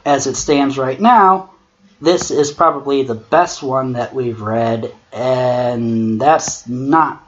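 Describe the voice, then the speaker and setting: Male critic, sarcastic and sweary, unhurried delivery, dull lo-fi mic. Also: medium pitch. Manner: sarcastic, profane. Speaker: commentator. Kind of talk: critical commentary on amateur horror fiction. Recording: muffled lo-fi mic with clear noise.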